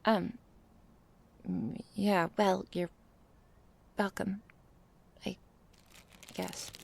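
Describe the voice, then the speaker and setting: A woman playing a jealous, menacing vampire in an ASMR roleplay, sarcastic and taunting, often dropping into breathy hisses. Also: medium pitch, little pitch movement, extremely slow, exaggerated voice. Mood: grudging.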